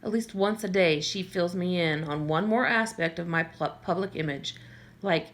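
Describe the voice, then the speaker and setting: A woman's voice: fairly low pitch, moderate pace. A woman reading a first-person novel aloud in a conversational way, now and then stumbling and correcting herself. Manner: conversational, with small slips and self-corrections. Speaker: audiobook reader.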